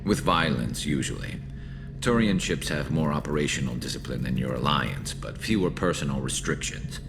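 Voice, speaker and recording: A man playing a loyal, battle-weary male alien soldier in a video game, with a dry, wry, measured delivery. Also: very deep voice, expressive, creaky, heavily acted. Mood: wry, relaxed.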